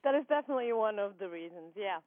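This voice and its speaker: A woman's voice: mid-range voice, lively intonation, quick pace, no room sound. A young woman with an Austrian accent talks with a giggle that keeps breaking through. She fills her thoughts with ums. Her voice comes through a tinny, dry phone line. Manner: giggly, laughs often, frequent ums. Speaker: guest. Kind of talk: casual phone interview.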